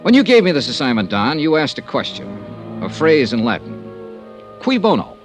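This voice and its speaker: Animated hard-boiled American radio detective. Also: male voice, a little high, moderate pace, soft background music. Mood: dry, wry. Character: shrewd, hard-boiled male insurance investigator, coolly accusing. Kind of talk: 1950s detective radio drama acting. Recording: vintage radio drama recording.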